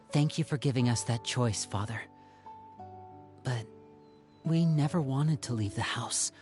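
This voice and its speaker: An actor as a devoted young son in a video game, mid-pitched and youthful. He speaks earnestly and respectfully, with soft self-doubt and loyalty, over clear background music. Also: slow pace, long pauses, natural voice. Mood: respectful, subdued. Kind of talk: scripted video game dialogue.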